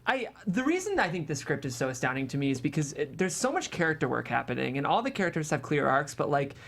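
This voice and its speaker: A woman hosts a relaxed, conversational interview in a low voice. Her delivery swings animatedly up and down, and her phrasing is informal, sprinkled with "like". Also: fast, gravelly. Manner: informal, peppered with "like". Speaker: host. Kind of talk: casual interview chat.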